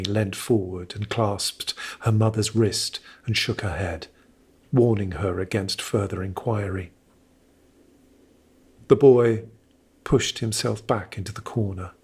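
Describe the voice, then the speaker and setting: A man playing the intense, expressive narrator of a period melodrama in an audio drama, telling it in a literary style. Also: fairly low pitch, slightly rough.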